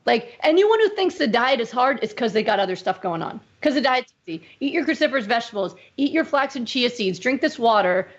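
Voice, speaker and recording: A woman doctor being interviewed, talking in an emphatic, animated way. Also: a little high, brisk, few pauses, highs cut off. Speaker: medical doctor, guest. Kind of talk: conversational interview.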